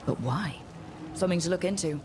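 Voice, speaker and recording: A woman's voice: lowish voice, brisk, over music, lightly acted. A woman voicing a cool, observant detective in a fantasy video game, thinking aloud in a terse, matter-of-fact way. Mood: focused, deducing.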